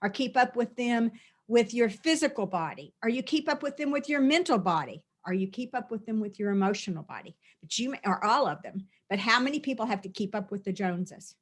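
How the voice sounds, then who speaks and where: A woman's voice: on the high side, animated, brisk. A middle-aged woman, a spiritual teacher, talking earnestly through a release session, often repeating and listing phrases.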